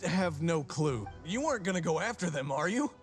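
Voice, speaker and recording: A man's voice: a little high, lively intonation, normal speed, soft background music, close to an everyday voice. An actor playing a timid, nervous male villager in a video game, speaking hesitantly and anxiously.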